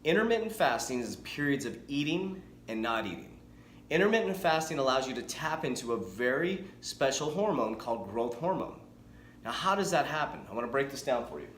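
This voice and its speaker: A man's voice: mid-range voice, fairly quick, reverberant, slight background noise. A man in his forties, a fitness coach, talking energetically and chattily to camera with frequent restarts. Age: 40s. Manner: energetic, chatty, self-correcting. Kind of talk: casual talking-head vlog.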